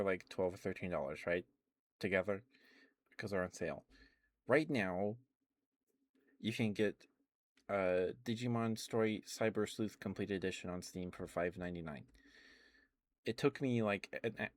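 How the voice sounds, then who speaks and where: A man streaming ASMR, chatting softly and rambling with frequent fillers and little laughs. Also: on the low side. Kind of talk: casual rambling chat.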